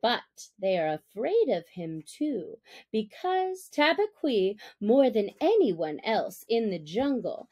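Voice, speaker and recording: A woman narrating a classic story as an audiobook reader, with deliberate, expressive phrasing. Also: a little high.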